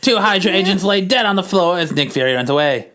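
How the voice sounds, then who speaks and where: A man's voice: high voice, expressive, fast talker, pushed and bright. Theatrical, amused male comic narrator. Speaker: podcast host, narrator. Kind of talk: dramatic comic-book narration read for laughs.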